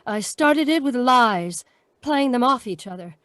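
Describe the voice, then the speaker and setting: A woman's voice: fairly high pitch, animated, unhurried, a bit bright, dry recording, studio quality. A woman playing an earnest, lovestruck and remorseful young woman in an old-time radio melodrama. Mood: earnest, resolute.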